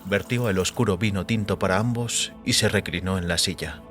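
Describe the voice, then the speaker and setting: A man's voice: sits low, fairly quick, a bit bright. A man giving a scripted, dramatic literary narration for an audio story. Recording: background music under voice.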